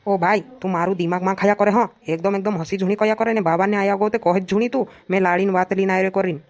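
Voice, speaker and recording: A woman's voice: mid-range voice, steady tone, quick pace, a little mellow, natural voice. A woman voicing a stubborn, argumentative young village son in a cartoon comedy, always complaining and answering back. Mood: defiant.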